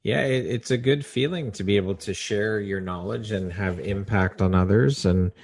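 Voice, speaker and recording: A woman's voice: very deep voice, moderate pace, a little mellow. A woman hosting a podcast, asking questions and affirming her guest encouragingly. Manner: affirming, encouraging, frequent backchannels. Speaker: podcast host. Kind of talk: interview questions and chat.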